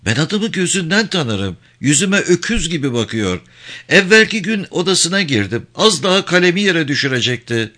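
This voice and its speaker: Male narrator as flirtatious gossiping wife, raised pitch, bright tone, coquettish, indignant, storybook character. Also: very animated, moderate pace, heavily acted. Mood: vain, complaining. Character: vain, flirtatious, quarrelsome married woman, sharp-tongued gossip.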